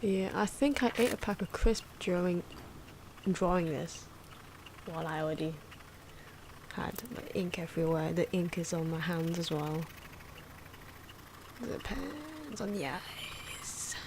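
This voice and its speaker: A soft-spoken woman giving casual ASMR commentary on drawing, often slipping into whispers, in an unhurried, rambling and playful way. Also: fairly low pitch, lively intonation. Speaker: host of an ASMR drawing channel. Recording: clear background noise from drawing sounds. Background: pen and marker scratching.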